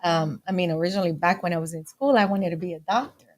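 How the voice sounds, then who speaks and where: A woman's voice: fairly low pitch, fast talker, darkish tone. A woman, a talk-show guest telling her life story candidly, with Spanish phrases mixed into her English. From Puerto Rico. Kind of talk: casual interview.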